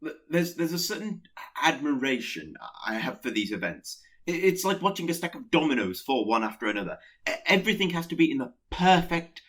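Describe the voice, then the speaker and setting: A man's voice: mid-range voice, a bit bright. An actor in a radio play playing a nervous, awkward Pestilence who hesitates and stammers as he secretly admires catastrophe. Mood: hesitant admiration.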